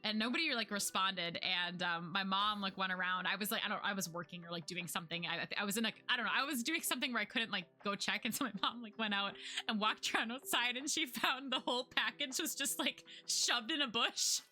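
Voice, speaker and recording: A young woman streamer chatting casually to her viewers, full of "like" fillers and quick laughs, her voice getting worn out. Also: mid-range voice, sharp tone, soft background music. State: losing her voice. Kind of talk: casual chat with live chat.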